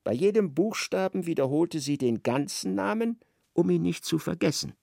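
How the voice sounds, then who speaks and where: An observant literary narrator in a radio play. His mid-pitched voice has a creaky grain and moves expressively up and down. Every phrase is articulate and measured, recorded very dry. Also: expressive, a little mellow, no echo. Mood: precise, wry. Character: precise, observant third-person male narrator of a novel.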